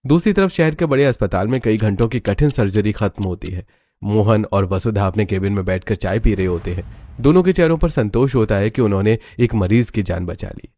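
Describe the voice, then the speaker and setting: Low-voiced man narrating a dramatic story. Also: lively intonation, a little fast, warm tone, no echo.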